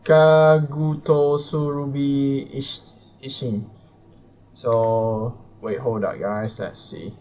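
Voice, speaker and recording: A man's voice: mid-range voice, fairly even intonation, very slow, a little mellow, lo-fi sound, a little hiss or hum. A man doing casual live game commentary, thinking aloud and muttering to himself as he plays. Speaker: video game live streamer. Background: game music.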